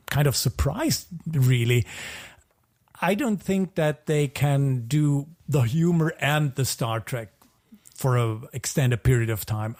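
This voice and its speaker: Higher-voiced man chatting, somewhat hesitant. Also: darkish tone. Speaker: podcast co-host. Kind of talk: casual pop culture review chat.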